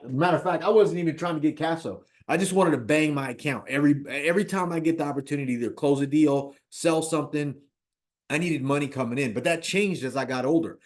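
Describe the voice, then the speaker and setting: A man of fifty talking casually about personal finance as an investor, animated, repeating points and checking in with "right?". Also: on the high side. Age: 50s. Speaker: real estate investor.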